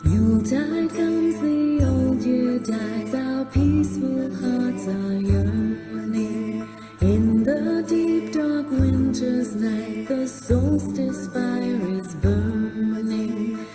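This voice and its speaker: A woman sings a folk carol in a high voice, drawing the words out very slowly. Prominent music accompanies her in an echoing space. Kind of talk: slow folk carol singing over music.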